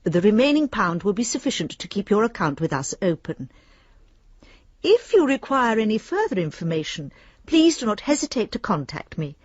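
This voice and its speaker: An actress playing a courteous, officious British bank lending advisor reading formal letters in a radio drama. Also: female voice, mid-range voice, big pitch swings, moderate pace, husky, no room sound, lo-fi sound. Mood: prim, officious.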